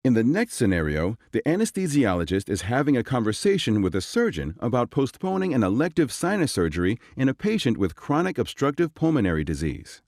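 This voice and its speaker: A man's voice: medium pitch, very animated, brisk, few pauses, a little mellow, no room sound, clean studio sound. A man reading scripted instructional narration. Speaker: narrator of exam explainer.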